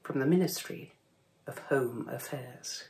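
A woman's voice: rumbling low, unhurried, a little mellow, a little hiss or hum. An older woman reading a letter aloud in an earnest, declarative way, filmed close up in her kitchen. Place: home kitchen.

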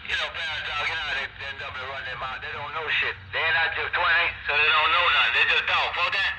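A man's voice: on the high side, fairly even intonation, quick pace. Man on a noisy CB radio. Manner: colloquial, repeats CB sign-offs and greetings. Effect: CB radio transmission. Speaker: CB radio operator. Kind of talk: casual radio call-outs and chat. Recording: noisy CB radio reception, heavy static.

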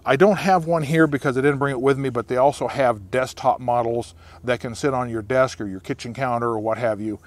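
A man's voice: mid-range voice, steady tone, fairly quick, hardly any pauses. An American man in his sixties hosting an informal outdoor explainer, talking to camera into a lapel mic in the woods. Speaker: outdoor preparedness video host.

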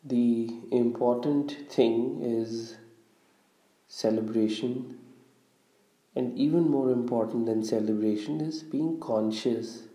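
A man leading a guided visualization, giving instructions in a deliberate, measured way with long pauses. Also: medium pitch, dark tone. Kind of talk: guided meditation, slow instructional narration. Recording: roomy, echoing room sound.

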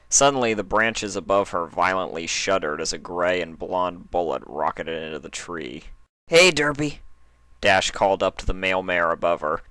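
A man's voice: low voice, sharp tone, no room sound. A man reading stories aloud as narrator, with a casual, storytelling style. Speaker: fan fiction reader and narrator.

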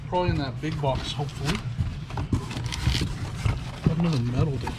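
A man's voice: a little high, moderate pace. A man in his fifties giving casual, upbeat commentary while he digs through boxes in a metal storage unit. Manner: chatty, upbeat, thinking aloud while sorting items. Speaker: storage locker reseller. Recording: loud background noise.

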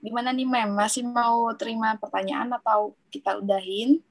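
A woman's voice: fairly high pitch, fairly even intonation, a little fast. A woman moderating an online workshop in casual chat, coaxing the audience to ask questions.

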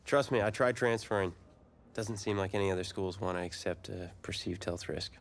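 A man's voice: low voice, moderate pace, slight background noise. A man playing a determined young football receiver in a video game cutscene, speaking tersely and confidently with an American accent. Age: young adult. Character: determined young college-bound football receiver back from illness.

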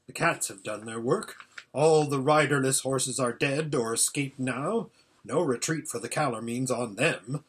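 A man's voice: medium pitch, husky. A man voicing an impatient old hermit seer in a storybook, giving an anxious, exclamatory running report. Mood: excited, relieved. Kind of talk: storybook character voice.

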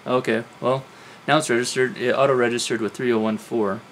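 A man's voice: mid-range voice, steady tone, fairly quick, a bit bright, a little hiss or hum. A man in his thirties giving a casual hands-on tech demonstration as a video host. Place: home lab room, indoors.

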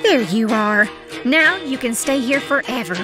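A woman voicing a kind, gentle rabbit wife of a former mayor. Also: high-pitched, animated, measured pace. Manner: gentle, sympathetic. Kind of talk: comic dub voice acting. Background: music.

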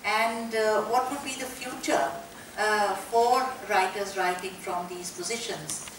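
Slow, hesitant woman moderating on stage. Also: medium pitch, fairly even intonation, a little hiss or hum. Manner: hesitant, many filler sounds. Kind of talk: panel conversation on stage. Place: large auditorium stage. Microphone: handheld mic. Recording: echoey hall heard from far off.

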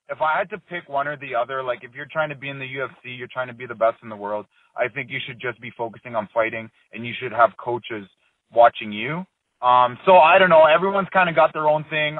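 A man in his thirties talks in a loose, rambling way, full of fillers and restarts. His voice sits at an ordinary pitch and comes through a thin, phone-like line with no room sound at all. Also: no room sound. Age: 30s. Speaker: MMA fighter, interview guest. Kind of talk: casual phone interview. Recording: dry tinny phone line.

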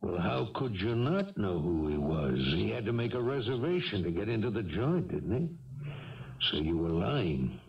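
An actor playing a self-assured police lieutenant with casual, slangy authority in a 1970s radio drama. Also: male voice, on the low side, big pitch swings, moderate pace, gravelly. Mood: wry, lenient. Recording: dull, distant 1970s radio recording.

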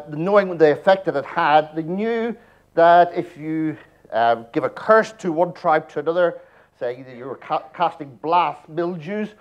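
Irish guy doing a conference talk, kinda high voice, wry jokes, big hall. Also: highs cut off. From Ireland. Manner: joking, wry asides. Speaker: conference speaker. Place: large presentation hall.

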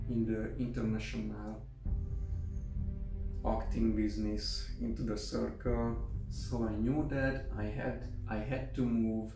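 A young man from Hungary with a Hungarian accent, talking to the camera in a casual, halting way at home. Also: on the low side, creaky, echoey room, distant mic, low-quality recording. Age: young adult. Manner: halting, careful, with restarts. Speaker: vlogger. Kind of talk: casual vlog monologue. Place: room at home, indoors. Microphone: camera mic at a short distance.

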